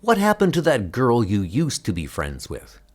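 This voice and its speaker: A man's voice: mid-range voice, wildly swooping pitch, normal speed. A man playing a weary, worried father in a comic book dub, exasperated and reproachful.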